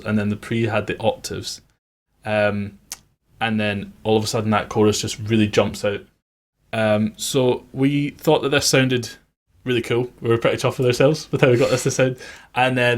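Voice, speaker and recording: A man working as a music producer, giving an informal, conversational tutorial on his production methods. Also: mid-range voice.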